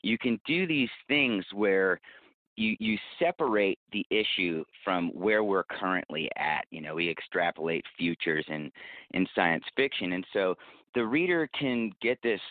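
A man giving a thoughtful, conversational interview as a guest, explaining things in a measured way. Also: deep voice, lively intonation, no room sound. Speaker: podcast creator, interview guest. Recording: tinny phone-like line, dry.

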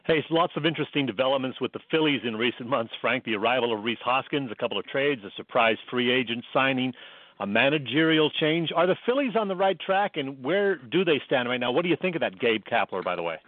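Male sports radio interviewer, quick delivery, mid-range voice on a tight, thin-sounding line. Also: mid-range voice, expressive, no room sound, narrow, tinny audio.

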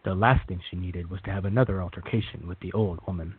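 A man's voice: sits low, steady tone, fast talker, slightly rough. A man narrating a radio play as its storyteller, reading from a script on a thin recording. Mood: measured storytelling. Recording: thin, phone-like audio.

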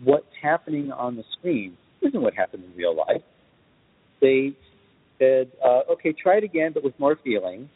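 A man explaining things emphatically in a podcast discussion, speaking as a guest composer with deliberate, lecturing phrasing. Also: medium pitch, sing-song, slightly rough. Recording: tinny phone-like line, long reverb.

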